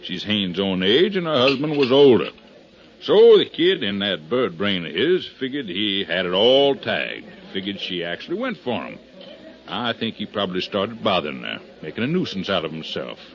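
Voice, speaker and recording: A man's voice: fairly low pitch, very animated, normal speed. An American actor playing a stubborn, sarcastic man in a 1950s radio drama, speaking in a folksy, needling, emphatic way. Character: stubborn, sarcastic man pushing his murder theory. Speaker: supporting actor in a radio detective serial. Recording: muffled 1950s radio broadcast recording.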